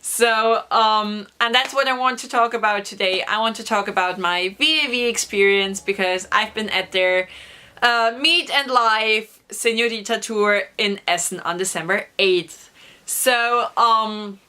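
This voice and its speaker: A young woman vlogger with a hoarse voice from a recent cough, chatting through an excited story with many fillers. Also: mid-range voice, unhurried. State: hoarse, recovering from lost voice. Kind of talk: casual storytelling vlog.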